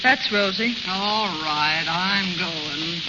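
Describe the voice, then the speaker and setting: A woman's voice: lowish voice, extremely slow. An American actress playing a resigned woman crook in a 1930s radio drama. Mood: subdued. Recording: very noisy, muffled old radio recording.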